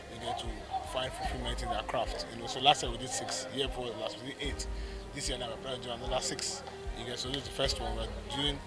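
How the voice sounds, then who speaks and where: A man with a Nigerian accent giving an informal interview as a theatre producer, emphatic and often repeating himself. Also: mid-range voice. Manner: emphatic, repeats words and checks with "you get". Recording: loud music behind the voice.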